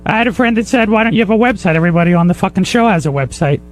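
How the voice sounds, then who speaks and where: A man's voice: high-pitched, expressive, racing, a little hiss or hum. A man arguing casually and defensively on a talk show, with some swearing.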